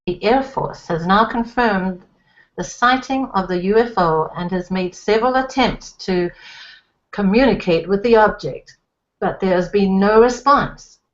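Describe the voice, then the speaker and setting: A woman's voice: medium pitch, unhurried, close to an everyday voice. A woman voicing a formal male TV news anchorman with a stiff, announcer-like delivery, on a slightly dull lo-fi recording. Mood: grave.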